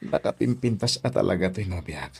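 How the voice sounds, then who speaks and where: A man's voice: deep voice, animated, brisk, vocal fry, a little mellow, slightly rough, full character voice. A man playing a sweet-talking, proud young boyfriend in a Filipino radio drama, crooning and a little hesitant. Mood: romantic.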